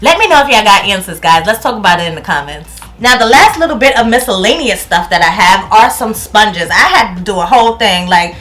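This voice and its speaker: A woman's voice: medium pitch, expressive, brisk, hardly any pauses, a bit bright. A woman in her thirties from New York with a New York accent, chatting casually through a product review at home and laughing often. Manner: chatty, laughs often, loses words and restarts. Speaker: beauty vlogger. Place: home room, indoors.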